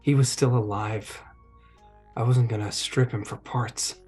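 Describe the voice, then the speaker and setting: A man playing a tabletop roleplaying game, moving casually between describing his actions and speaking in character, in a gravelly, husky voice. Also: mid-range voice, steady tone, a little slow, a little mellow, faint music behind.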